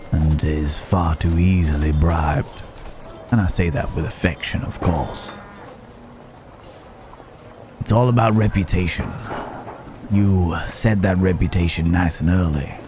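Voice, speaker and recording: A man playing a rugged, protective cowboy drifter in an intimate ASMR Wild West roleplay, with a drawl, teasing and reassuring as he pauses between thoughts. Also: sits low, noisy background.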